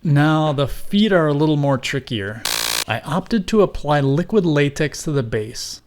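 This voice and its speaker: A man's voice: fairly high pitch, lively intonation, a little slow. Man narrating a wry craft how-to, some noise. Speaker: host and craftsman. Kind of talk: scripted how-to voice-over narration.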